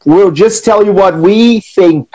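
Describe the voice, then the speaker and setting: Friendly teacher guy co-hosting, slightly higher pitch, soft mellow tone, lots of yeah. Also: slow pace, low-quality recording. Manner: friendly, agreeing, laughs often. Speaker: podcast co-host, teacher. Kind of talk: casual educational chat.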